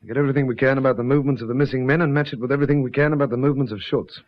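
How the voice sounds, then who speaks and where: An actor playing a businesslike, decisive detective with an urgent manner in a radio drama. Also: male voice, medium pitch, fairly even intonation, racing, close to an everyday voice. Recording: dull lo-fi radio recording.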